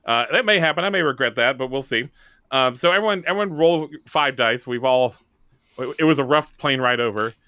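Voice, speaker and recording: A man's voice: medium pitch, expressive, brisk, sharp tone, clean tone, no echo. A man casually explaining rules and prompting players as he runs a tabletop game.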